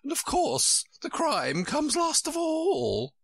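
Man putting on a shrill, flighty storybook queen. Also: wildly swooping pitch, slow pace, gravelly, a bit bright, dry recording, clean studio sound, heavily acted. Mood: gleeful, insistent. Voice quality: shrill, squeaky. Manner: emphatic, swooping, exclaiming. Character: flighty, scatterbrained older queen, shrill and imperious.

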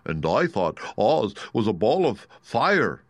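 A man voicing a big cowardly lion in a storybook, exclaiming in a drawn-out way. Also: on the low side, big pitch swings, no echo, heavily acted. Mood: dismayed.

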